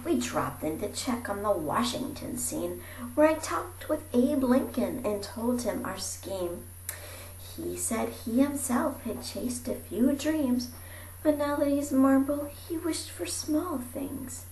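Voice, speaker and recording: Female storybook reader, theatrical and highly expressive, unhurried pace, roomy amateur recording. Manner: theatrical, dropping into breathy whispers and calling out. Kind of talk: animated rhyming picture-book read-aloud. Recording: roomy echo with faint background noise.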